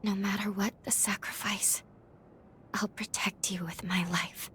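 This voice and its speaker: A woman playing a gentle, dutiful young heroine in a video game, speaking softly in formal, earnest, measured phrases. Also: medium pitch, level tone, in a whisper, close to an everyday voice. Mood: quiet, resolute. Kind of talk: dramatic video game cutscene acting. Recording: game music behind the voice.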